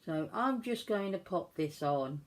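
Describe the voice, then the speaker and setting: A woman with a cold, croaky voice, chatting casually through a makeup tutorial in a self-deprecating way. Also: fairly low pitch, expressive, measured pace. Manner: chatty, self-deprecating, talks while working. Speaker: beauty vlogger.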